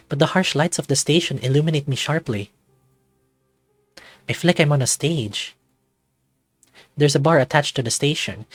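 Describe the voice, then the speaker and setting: A man's voice: medium pitch, no room sound, close to an everyday voice. A man voicing an anxious, self-doubting man in his thirties, narrating a visual novel introspectively in the first person. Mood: uneasy, self-conscious. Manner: introspective, confessional, dramatic reading.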